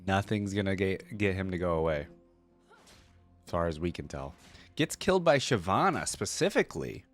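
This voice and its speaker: A man's voice: low voice, sing-song, normal speed, soft background music. A man giving casual, conversational reaction commentary, musing aloud as he goes. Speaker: reaction video host.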